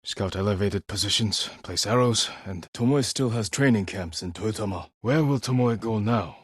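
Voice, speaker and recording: A grimly resolute samurai leader for a video game. His low voice has a husky grain and sits in a very dry, close recording. He speaks with solemn, measured restraint in short, clipped lines. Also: no echo. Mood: calm, resolute. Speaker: video game lead character. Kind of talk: dramatic video game voice acting.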